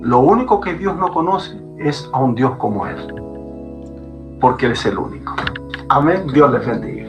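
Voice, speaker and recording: A man's voice: fairly high pitch, lively intonation, moderate pace, music in the background. A man, a pastor, speaking fervently as a guest on a podcast and repeating phrases for emphasis. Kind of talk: preaching guest in a podcast conversation. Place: Chile.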